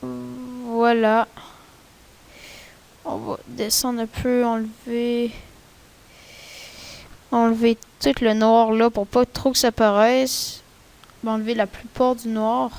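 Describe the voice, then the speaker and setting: A woman with a Québécois French accent, rambling casually through a screen-recorded software tutorial in a chatty, slangy way. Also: on the high side, flat delivery, slow pace, vocal fry, long pauses. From Quebec. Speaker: tutorial maker.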